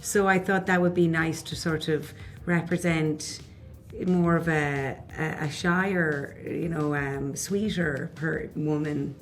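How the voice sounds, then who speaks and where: A woman speaks in a fairly low voice. She is thoughtful and unhurried, and she builds her answers slowly. She pauses and hedges as she talks. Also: fairly even intonation, soft background music. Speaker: actress. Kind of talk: press junket interview.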